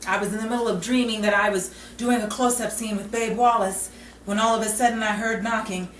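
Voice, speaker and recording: A woman's voice: fairly high pitch, fairly even intonation, a little fast, lightly acted. A woman playing a put-upon 1940s Hollywood woman, wisecracking with dry exasperation in a radio comedy style. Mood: put-upon complaining. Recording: roomy, distant mic with faint noise.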